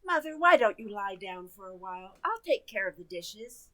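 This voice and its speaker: A woman's voice: mid-range voice, very animated, moderate pace, pushed and bright. A woman playing a helpful, slightly bossy teenage older daughter in an old-time radio sitcom.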